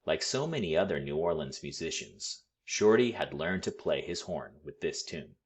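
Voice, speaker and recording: A man reading a children's story aloud with expressive, deliberate, dramatic phrasing. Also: extremely low pitch. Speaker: storybook read-aloud reader.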